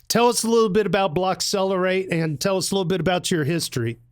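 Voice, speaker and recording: Male host, high-pitched, chatty and upbeat, dry close sound. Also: quick pace, clean tone, no echo. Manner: chatty, upbeat, laughs easily. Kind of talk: casual interview chat.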